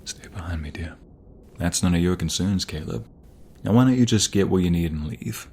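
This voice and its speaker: A man's voice: low voice, normal speed, darkish tone, a little hiss or hum. A man playing a young romantic mobster, protective and teasing, in an intimate ASMR audio roleplay. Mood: guarded, protective.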